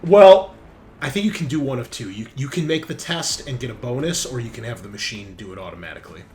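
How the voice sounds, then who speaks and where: A man's voice: mid-range voice, expressive, fast, a little mellow. A man running a tabletop roleplaying game as game master, narrating and explaining in a casual, conversational way.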